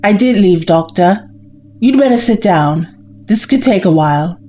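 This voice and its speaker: A woman playing a loyal, level-headed companion in an audio drama, gentle and matter-of-fact. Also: mid-range voice, animated, a little slow, a little hiss or hum, natural voice. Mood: gentle concern.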